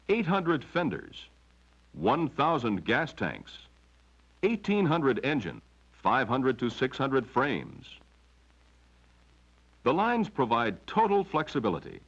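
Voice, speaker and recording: A middle-aged man reading a measured, promotional script as narrator of a company film, on a dull 1970s film soundtrack. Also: medium pitch, breathy edge.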